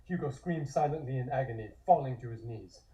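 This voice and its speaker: A man's voice: mid-range voice, brisk, mellow, low-quality recording. A man reading scripted horror stage directions as the narrator of a table read.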